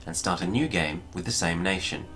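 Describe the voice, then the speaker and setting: A man narrating a scripted gaming tutorial in a formal, measured, precise manner. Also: low-pitched. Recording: music behind the voice. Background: music.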